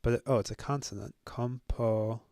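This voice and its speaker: A young man thinking aloud as he works through a language on his own, musing and joking at his own expense, halting often with fillers. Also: medium pitch, steady tone, mellow, no echo, clean studio sound. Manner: musing, self-deprecating, halting with many fillers. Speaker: language-learning video host. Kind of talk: thinking aloud while self-studying.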